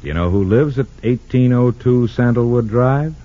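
An actor playing a wry, inquisitive, easygoing newspaperman with a husky voice in an old radio drama. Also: medium pitch, normal speed, natural voice. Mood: wry, casual. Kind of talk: 1940s-50s radio drama acting. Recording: dull lo-fi old radio recording.

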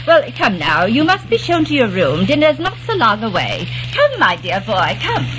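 High-ish, sing-song posh mom, gushing, hissy radio play. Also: female voice, wildly swooping pitch, moderate pace. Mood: gracious. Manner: effusive, gracious, formal. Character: gracious aristocratic mother, middle-aged. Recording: old radio broadcast with hiss.

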